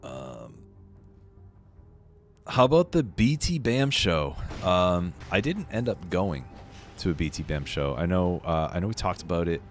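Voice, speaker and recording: Game streamer, man, very deep voice, a bit hoarse and sniffly, relaxed chatty delivery. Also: measured pace. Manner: laid-back, chatty, lots of fillers. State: slightly hoarse and sniffly from a cold. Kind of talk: casual live-stream commentary. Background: faint game music.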